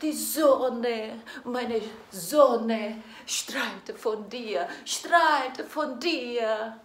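A middle-aged woman with a Korean accent tells a song's story slowly and lyrically, asking her listener questions as she presents it. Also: a little high. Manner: lilting, questions the listener directly. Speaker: classical singer presenting a song. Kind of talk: slow storytelling to the viewer. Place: indoor studio in front of green screen. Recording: echoey room with faint music.

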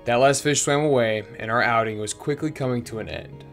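A man's voice: mid-range voice, fairly quick, gravelly, faint music behind. A young man vlogging while fly fishing outdoors by a river, chatting casually and narrating over the footage. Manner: excitable, shouts during catches.

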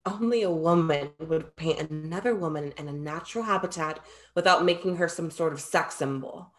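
A woman's voice: sits low, normal speed, soft background music, natural voice. A young woman acting an earnest, formal immortal muse in a staged reading, speaking precisely. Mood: passionate, admiring. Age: young adult. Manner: formal, precise, avoids contractions. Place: indoor room at home, video call.

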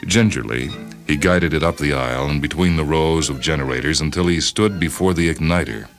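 Male narrator, extremely low bass voice, for dramatic short-story reading with deliberate pauses, music underneath. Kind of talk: scripted narration of a science fiction short story. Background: music behind the voice.

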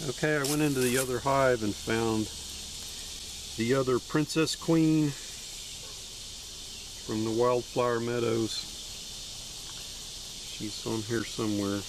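A man casually narrating a hive inspection outdoors, with an unhurried, plain-spoken, matter-of-fact way of talking. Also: mid-range voice, background noise. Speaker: beekeeper and video host. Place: outdoors among trees beside beehives.

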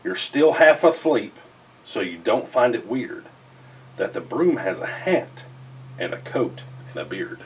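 A middle-aged man reads a rhyming children's picture book aloud in an expressive, theatrical way with emphatic phrasing. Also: on the low side, measured pace, distant mic, a little hiss or hum, rough recording. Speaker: storybook reader. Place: indoors in front of a festive backdrop.

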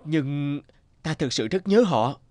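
A man's voice: fairly high pitch, lively intonation, takes it slowly, dark tone, no room sound, studio quality. A man voicing a homesick young scholar in a cartoon, speaking in a courtly, archaic way. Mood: pleading. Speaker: voice actor. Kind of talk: cartoon dubbing.

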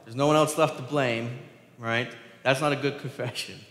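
A man's voice: mid-range voice, fairly even intonation, moderate pace, fairly bright tone. An American man preaching a conversational sermon as a pastor, informal and explanatory, driving points home with repetition. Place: large church sanctuary, at the pulpit. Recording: echoey church hall, long reverb.

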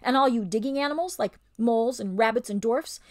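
A woman's voice: on the high side, brisk, lightly acted. A woman voicing a scheming, bullying male ape who snarls and lords it over the other animals in a storybook reading. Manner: snarling, domineering, sly.

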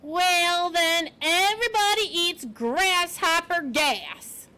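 A woman voicing a grouchy, blustering male porcupine in a children's storybook, with loud, emphatic delivery. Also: extremely high pitch, voice pushed up, full character voice. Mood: indignant. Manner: bellowing, drawn-out emphatic delivery. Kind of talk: children's storybook read-aloud.

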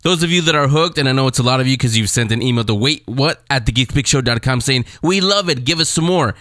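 A man's voice: mid-range voice, fast talker, pushed and bright, no echo. Man hosting a podcast, dry sound. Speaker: podcast host. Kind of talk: casual show presenting.

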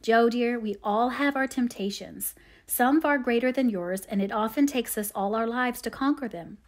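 Middle-aged storybook mother, voiced by a woman reader: patient, devout, tender, measured delivery. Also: a little high, close to an everyday voice. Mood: gentle counsel.

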